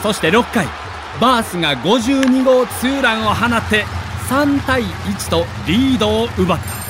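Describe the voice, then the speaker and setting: High-voiced man in dramatic narration, noisy backdrop. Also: animated, moderate pace. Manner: theatrical, emotive, emphatic delivery. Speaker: narrator of a baseball audio documentary.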